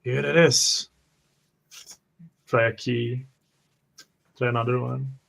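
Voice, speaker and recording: A man playing a tabletop roleplay game, narrating his actions in a halting, word-repeating way. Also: medium pitch, little pitch movement, gravelly, fairly bright tone.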